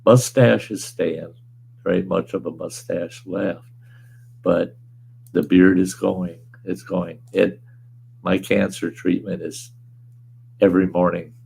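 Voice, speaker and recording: A man hosting a casual livestream from home, hoarse with a weakened voice, rambling in a laid-back way and chuckling often. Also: low-pitched, fairly even intonation, slow, long pauses, a little mellow. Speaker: livestream host. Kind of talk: casual livestream chat reading viewer comments.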